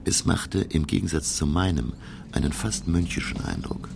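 An actor playing a learned, curious professor who narrates his undersea adventure in a radio play, gravelly and measured. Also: heavy background noise, full character voice. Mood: reflective wonder. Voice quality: very deep, gravelly. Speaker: narrator and character.

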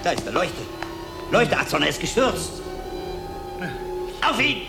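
A man's voice: sits high, big pitch swings, measured pace, creaky, exaggerated voice. An actor playing a commanding monk who gives his novice urgent orders in a radio drama. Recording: echoing room, loud music behind.

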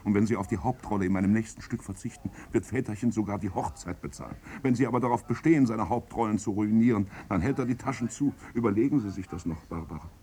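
An actor playing a paternal, sardonic playwright in a 1950s German radio play. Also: male voice, on the low side, fast talker, few pauses, warm tone, natural voice. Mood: teasing, sardonic. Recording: 1950s radio recording, faint noise.

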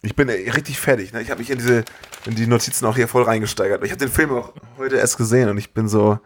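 A man's voice: medium pitch, fast talker. Incredulous man chatting animatedly. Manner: animated, incredulous, colloquial exclamations. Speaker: podcast co-host. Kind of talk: casual film review chat.

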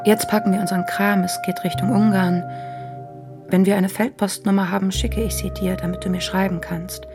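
A woman's voice: medium pitch, little pitch movement, normal speed, lightly acted. An actress playing a young woman who reads out a soldier's letter intimately in a German radio drama. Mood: intimate, wry. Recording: music clearly behind the voice.

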